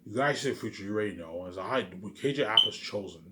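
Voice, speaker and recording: A man's voice: on the low side, expressive, quick pace. A man talking casually in a rambling, repetitive monologue, musing aloud like a vlogger. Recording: noisy amateur recording.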